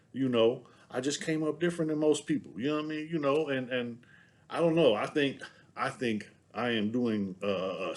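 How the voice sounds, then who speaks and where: A middle-aged man with a Southern US accent speaks straight to his viewers in a casual, meandering monologue. He circles back on himself, tacking a steady stream of filler tags onto his sentences. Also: mid-range voice. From San Diego, California. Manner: rambling, loaded with "you know what I'm saying" tags. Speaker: vlogger.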